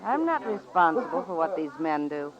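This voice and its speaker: A woman's voice: deep voice, very animated, moderate pace. An actress playing a cool, defiant gambling-hall woman in a radio western, curt and challenging. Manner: curt, challenging, coolly polite. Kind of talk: old-time radio western acting. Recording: muffled old-time radio, crowd murmur behind.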